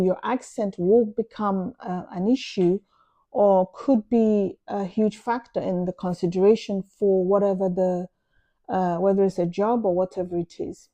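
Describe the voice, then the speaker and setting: A Nigerian woman, a native Igbo speaker, talking to camera in an earnest, emphatic way, explaining her views at a measured pace. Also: mid-range voice, dark tone, muffled recording. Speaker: vlogger. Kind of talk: opinion monologue to camera.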